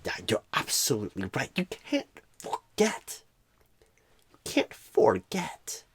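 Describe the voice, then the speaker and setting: Guy doing a clueless rambling recruiter for asmr, slow weird pauses, breathy half whispers, pitch all over the place. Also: wildly swooping pitch, heavily acted. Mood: earnest, halting. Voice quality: breathy, husky, often dropping to a whisper. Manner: halting, oddly broken phrasing, rambling. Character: clueless, rambling, eccentric male job recruiter. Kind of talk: ASMR roleplay.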